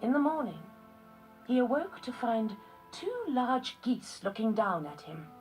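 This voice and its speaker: A woman narrating a children's story from a script in measured phrases with short pauses. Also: a little high, big pitch swings, a little mellow. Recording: music behind the voice. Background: music.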